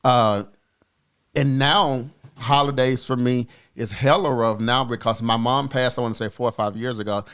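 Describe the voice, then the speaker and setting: A man in his forties, a podcast co-host, telling a personal story in a reflective, earnest way with frequent pauses and fillers. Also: mid-range voice, fairly even intonation, a bit bright, clear, resonant tone. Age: 40s.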